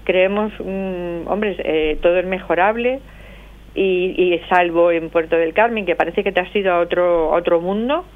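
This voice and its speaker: A middle-aged woman from Madrid, with a Madrid accent, talks at length and with many pauses as a phone guest on a radio interview. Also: on the low side. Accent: Madrid Spanish. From Madrid, Spain. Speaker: association spokesperson, phone guest. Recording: tinny phone line.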